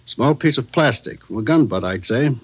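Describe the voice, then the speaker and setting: An American actor playing a methodical, insistent police crime lab expert in a 1940s radio drama, explaining in clipped, emphatic phrases. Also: male voice, mid-range voice, expressive, moderate pace, slightly rough. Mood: puzzled, emphatic. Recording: dull vintage radio recording, dry.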